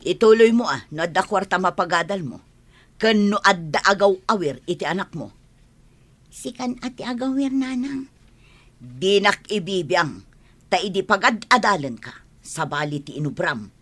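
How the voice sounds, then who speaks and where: A woman's voice: mid-range voice, expressive, moderate pace, fairly bright tone, no echo. A woman playing an emotional, strong-willed character in a family audio drama, reproachful and plaintive in delivery. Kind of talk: melodramatic audio drama dialogue.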